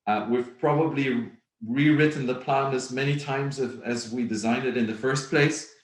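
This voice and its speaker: A middle-aged man from Belgium, a native Dutch speaker with a Flemish accent, presenting at a virtual conference in a measured, reflective way with many ums. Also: mid-range voice, steady tone. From Belgium (Flanders). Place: home office, indoors. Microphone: video call. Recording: roomy video-call audio.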